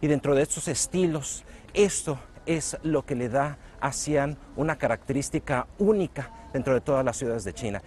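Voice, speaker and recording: A man's voice: medium pitch, a little mellow, a little hiss or hum. Polished Mexican broadcaster man. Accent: Mexican Spanish. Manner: measured, polished broadcaster delivery. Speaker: television news presenter. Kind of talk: travel documentary presenting and narration. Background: music.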